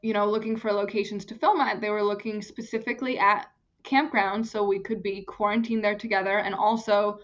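A woman's voice: medium pitch, fairly even intonation, fairly quick, dull audio. A young woman from Germany, an actress and stunt performer, chatting casually as an interview guest, often restarting her phrases.

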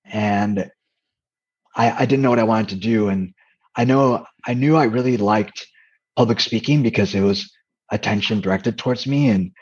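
A soft-spoken man, a dating coach and comedian, giving reflective interview answers and often restarting his sentences. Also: lowish voice, moderate pace, husky, highs cut off. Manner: hesitant, with frequent restarts.

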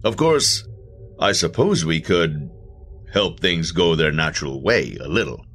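A man voicing a cool afterlife agent, very deep. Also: sing-song, measured pace, a bit bright, faint music behind, exaggerated voice. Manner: cool, matter-of-fact, faintly mocking.

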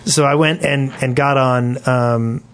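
A man co-hosting a casual gaming podcast, talking in a halting way and often repeating his words as he goes. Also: mid-range voice, steady tone, fairly bright tone. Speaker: podcast co-host. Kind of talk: casual group chat about gaming.

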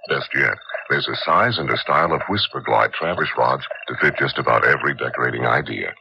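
A man with a gravelly voice reading a scripted 1970s radio commercial. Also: brisk. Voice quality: gravelly, very deep. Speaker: commercial announcer. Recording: muffled radio broadcast, faint noise.

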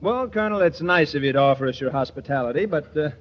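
A man's voice: a little high, expressive, fast. A man playing the easygoing, wisecracking lead of a 1940s radio comedy. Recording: noisy, dull old radio recording.

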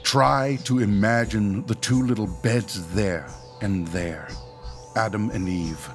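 An actor playing a stern, formal doctor who leads a ghost-hunting team in a radio horror drama, speaking with pedantic precision. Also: male voice, fairly low pitch, slow, over music. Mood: solemn, reproving.

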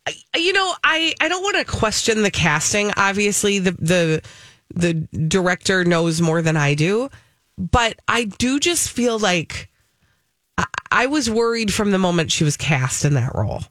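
A woman chats casually as a radio co-host, emphatic and expressive. Her voice sits at a middle pitch and is recorded close and dry. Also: a little slow, no room sound. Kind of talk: casual entertainment chat. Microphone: close studio microphone.

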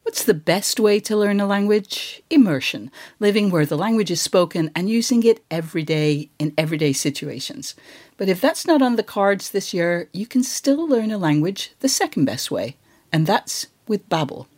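A woman's voice: medium pitch, animated, moderate pace, darkish tone. A woman speaking as a podcast host, in a conversational interviewing style.

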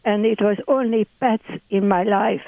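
Older Hungarian woman caller in her eighties, chatty, halting English, tinny dry phone sound. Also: mid-range voice, steady tone, gravelly, no echo. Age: 80s. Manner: chatty, broken English, occasional laughs. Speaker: landlord guest. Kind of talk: phone interview.

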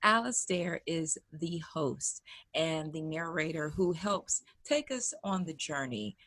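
A woman's voice: deep voice, animated, slow pace, a bit bright. A woman co-hosting a podcast with casual banter. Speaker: podcast co-host.

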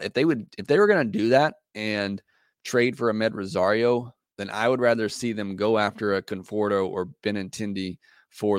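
A hoarse man with a lowish voice hosts a casual podcast, working through listener comments. He talks in a loose, hesitant way with plenty of fillers, recorded close and dry with no room sound. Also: no echo. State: hoarse, losing his voice. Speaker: podcast host.

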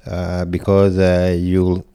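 A man from Kenya, a podcast guest who chats in a slow, deliberate way with deadpan humor. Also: low-pitched, smooth, no echo. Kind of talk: casual interview.

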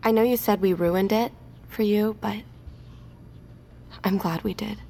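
Earnest, practical woman, middle-pitched. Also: steady tone, unhurried, creaky, dark tone, a little hiss or hum. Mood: earnest, conciliatory. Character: earnest, practical woman leading a trapped crew. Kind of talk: naturalistic audio drama acting.

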